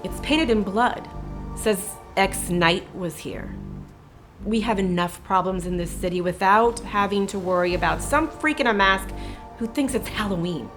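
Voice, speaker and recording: A woman's voice: medium pitch, a little slow, close to an everyday voice. A woman playing a jaded, authoritative police lieutenant in an audio drama, with a brusque delivery. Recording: music behind the voice.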